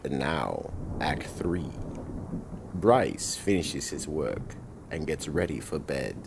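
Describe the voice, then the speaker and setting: A man with an extremely deep, gravelly voice narrates a horror radio play. He reads slowly and deliberately, with faint noise behind. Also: sing-song. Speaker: narrator, announcer.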